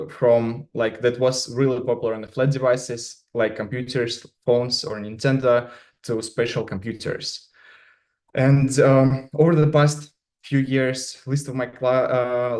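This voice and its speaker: A man from Russia with a Russian accent, a native Russian speaker giving a conference talk in English over a video call, halting and filling his speech with many "uh"s and "like"s. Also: mid-range voice. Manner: halting, many fillers and restarts. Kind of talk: remote conference presentation.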